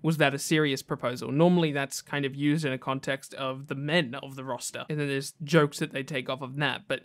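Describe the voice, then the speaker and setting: A man's voice: medium pitch, fairly even intonation, brisk. A man hosting a gaming countdown video with casual, conversational, enthusiastic commentary.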